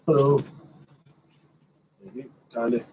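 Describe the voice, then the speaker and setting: A man's voice: medium pitch, level tone, very slow, background noise. An American man in his thirties chatting casually as a live stream host, answering questions in a blunt, slangy, joking manner with some swearing. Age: 30s.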